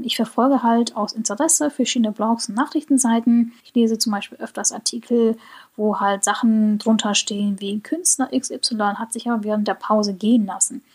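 A woman from Germany hosting a solo podcast, giving her opinions in a reflective way and slowing down on key points. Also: on the high side, slightly rough. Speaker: podcast host.

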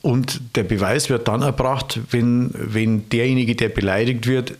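A man's voice: medium pitch, steady tone, a little slow. Dry man telling anecdotes. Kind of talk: casual chat.

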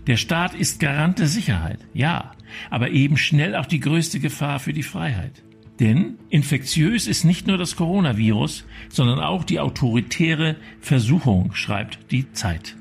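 Man, radio commentator from Berlin, measured and earnest, gravelly, faint music beneath. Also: on the high side, animated. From Berlin, Germany.

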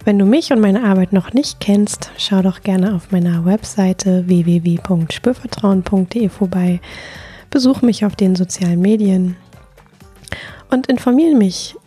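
A woman hosting her own podcast as a coach, speaking gently and reflectively, a little nasal from a cold. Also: medium pitch, a little slow, slightly rough, faint music behind. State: has a cold, slightly nasal. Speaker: podcast host and sexual life coach. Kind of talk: solo podcast talk.

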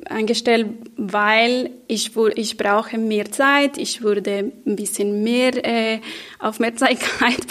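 A woman and talk show guest who speaks German as a second language, halting and searching for words. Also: on the high side. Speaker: guest, social worker. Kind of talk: discussion answer.

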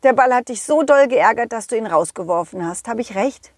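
A woman voicing a confident, loyal, kindly dog who tells his adventures to children in a chatty, halting, empathetic way. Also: a little high, lively intonation, no room sound, studio quality. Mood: earnest, kindly. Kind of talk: children's audio play storytelling.

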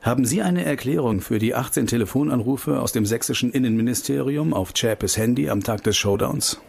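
An actor playing a determined, disillusioned investigator in a political radio play, measured and dryly sardonic. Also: male voice, medium pitch, vocal fry, darkish tone, husky. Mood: dry, knowing. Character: determined male investigator, disillusioned with corrupt state authorities.

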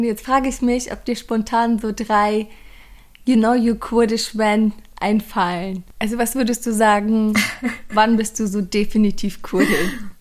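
A woman hosting a podcast, introducing the episode and her guest in an upbeat, chatty, conversational way. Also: fairly high pitch. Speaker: podcast host.

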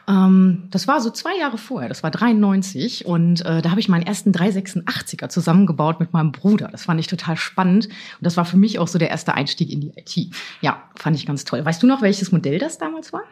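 A woman's voice: on the low side, a little mellow, breathy edge. A woman of around forty from Germany, chatting enthusiastically as she conducts a casual interview.

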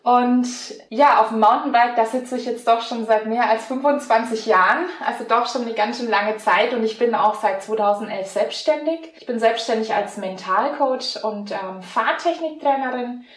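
A woman in her forties from Franconia with a Franconian accent, a mental coach chatting in an interview, laughing often and filling pauses. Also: fairly high pitch, reverberant. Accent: Franconian German. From Franconia, Germany. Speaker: mental coach and riding technique trainer. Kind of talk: casual interview answers.

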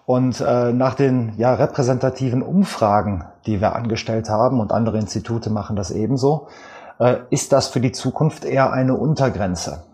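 A man with a Rhineland German accent, a guest expert giving measured, explanatory answers with frequent fillers in an interview. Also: medium pitch, a little mellow.

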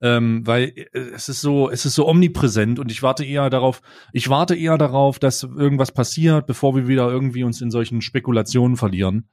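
Young German man, mid-pitched, halting casual chat. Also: steady tone, darkish tone. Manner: halting, slangy, searching for words. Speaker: podcast co-host. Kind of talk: casual unscripted podcast chat.

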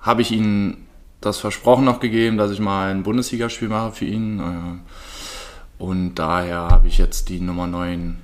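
A man's voice: low voice, flat delivery, a little slow. A man in his late twenties from Berlin, a podcast guest answering casually with lots of hesitant fillers. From Berlin, Germany. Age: 20s. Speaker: guest, handball player.

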